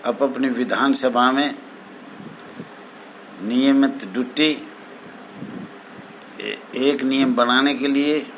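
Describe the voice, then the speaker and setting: A man giving an informal explanatory address to fellow members, speaking haltingly with formal honorifics and repeated phrases. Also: medium pitch, steady tone, fairly bright tone, a little hiss or hum, low-quality recording. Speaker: home guard association spokesman.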